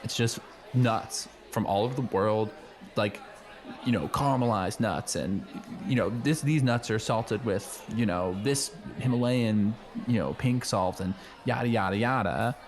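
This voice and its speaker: Guy acting as earnest teen high schooler, lowish voice, rambly slangy comedy radio play chat, some noise behind. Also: measured pace. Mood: enthusiastic. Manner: rambling, hesitant, peppered with "like" and slang. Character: earnest, slangy teenage high school boy, eager know-it-all. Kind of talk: improvised comedy radio play, casual dialogue.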